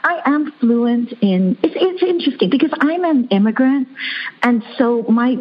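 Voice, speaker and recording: A woman's voice: a little high, lively intonation, moderate pace. A middle-aged woman from Hong Kong, an author speaking thoughtfully as a guest, with many "you know" fillers. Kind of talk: conversational interview. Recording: dull lo-fi audio.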